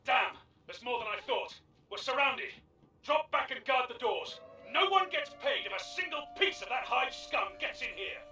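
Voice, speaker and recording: An actor playing an embattled male guard commander in an action game, barking orders forcefully. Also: very high-pitched, animated, moderate pace, exaggerated voice. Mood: alarmed. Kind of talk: action game cutscene acting. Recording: echoey, slightly distant, faint music.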